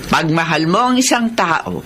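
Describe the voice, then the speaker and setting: Animated Filipina mother, noisy audio. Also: deep voice, normal speed. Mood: concerned, motherly. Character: caring, nosy middle-aged mother, lively and advising. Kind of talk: Filipino radio drama acting.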